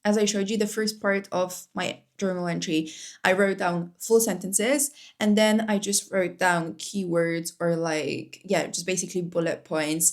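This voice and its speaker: A young woman whose first language is Polish, vlogging casually to camera from home, chatty, with many fillers and restarts. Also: fairly low pitch. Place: indoors at home.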